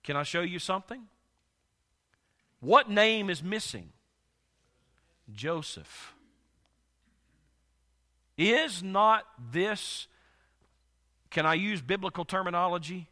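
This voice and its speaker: A male pastor preaching a sermon while congested, his voice nearly gone, swinging from soft to loud with slow, emphatic delivery. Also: sits high, animated, in a large hall.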